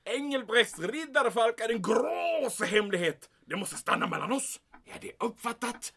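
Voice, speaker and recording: High, animated, blustering commandant played by a man. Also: creaky, husky, full character voice. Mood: grave, secretive. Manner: emphatic, peppering speech with German exclamations. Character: anxious, blustering German military commandant guarding a secret. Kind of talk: audio drama acting.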